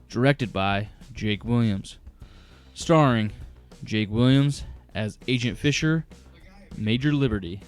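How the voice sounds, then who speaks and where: A man's voice: medium pitch, a little mellow. A man reading scripted credits as the announcer of an audio drama. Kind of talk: scripted narration.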